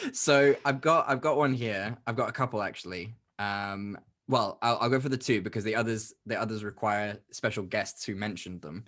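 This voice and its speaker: Chatty young man, lowish voice. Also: fairly bright tone. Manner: chatty, laughs often, quick asides. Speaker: podcast co-host. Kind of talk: casual chat.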